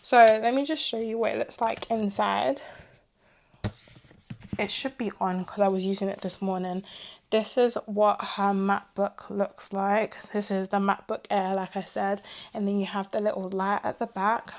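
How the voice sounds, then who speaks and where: A young woman vlogging about her gadgets in a chatty, animated way, her voice going hoarse. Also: medium pitch, a little slow. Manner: chatty and animated, drifting into asides. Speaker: vlogger reviewing her tech purchases. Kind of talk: casual chat to camera.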